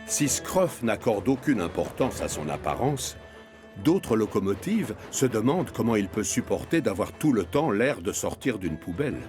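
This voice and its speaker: A man's voice: on the low side, sing-song, normal speed, music in the background. A man narrating a children's cartoon in a scripted storytelling style.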